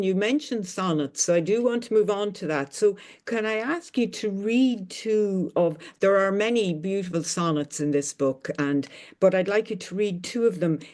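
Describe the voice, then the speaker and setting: A woman interviewing a poet, warm and encouraging, often affirming what she hears. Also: mid-range voice. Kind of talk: book talk interview.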